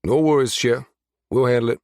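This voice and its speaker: A man voicing a hearty, easygoing soldier in an audiobook, speaking louder and cheerfully. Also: mid-range voice, brisk, no room sound, studio quality, natural voice. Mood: reassuring.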